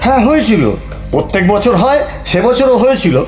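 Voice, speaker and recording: A high male voice of an actor as a blustering husband in a comedy play. He splutters defensively and indignantly. The room echoes and music plays clearly behind him. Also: very animated, a little fast, darkish tone. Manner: spluttering, indignant, repeats words. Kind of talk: Bengali audio comedy play acting.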